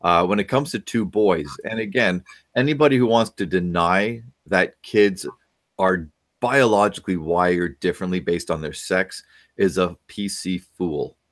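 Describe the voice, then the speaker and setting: A man's voice: deep voice, unhurried, fairly bright tone. A middle-aged man giving parenting advice over a video call from a home office, lecturing and asking many questions. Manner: lecturing, asks many questions, frequent fillers. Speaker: parenting expert guest.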